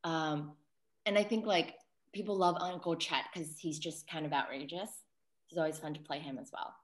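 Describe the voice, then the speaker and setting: A woman's voice: low-pitched, steady tone, moderate pace. American woman thinking aloud, roomy echo. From New York City, United States. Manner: hesitant, self-correcting, thinking aloud. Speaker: playwright and solo performer. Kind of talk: reflective post-show discussion. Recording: roomy, echoing sound.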